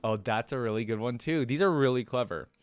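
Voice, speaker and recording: A man hosting a reaction video, talking casually and unscripted to viewers, rambling and speculating as he thinks out loud. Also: medium pitch, brisk, clear, resonant tone, dry recording. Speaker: reaction video host, fan commentator.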